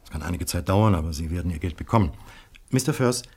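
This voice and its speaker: A low male voice portrays a polite police detective in a radio crime drama. He questions deliberately, probing with courteous patience and small hesitations. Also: expressive, darkish tone, breathy edge, full character voice. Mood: businesslike.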